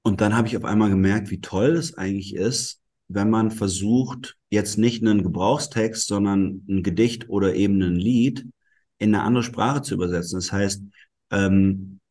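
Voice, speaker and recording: A man's voice: fairly low pitch, a little mellow. A bilingual man who grew up with German and Italian, a guest in a casual interview, explaining thoughtfully at length with many fillers. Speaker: musician and guest.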